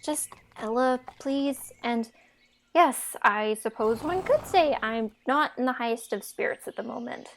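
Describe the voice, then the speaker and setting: A woman's voice: fairly high pitch, a little slow, a little hiss or hum. A woman playing a reluctant, wry young fairytale heroine in an amateur audio drama. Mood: wry, resigned.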